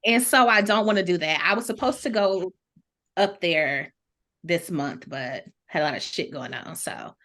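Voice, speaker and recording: Female podcast panelist, slightly low voice, informal and slangy, feeling her way through thoughts. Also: normal speed, a bit bright. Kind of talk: casual chat.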